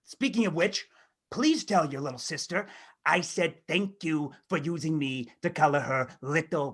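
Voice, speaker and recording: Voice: medium pitch, a bit bright, breathy edge. A performer voicing a sassy pink crayon with a grievance in a comic storybook reading, confrontational, sarcastic and emphatic.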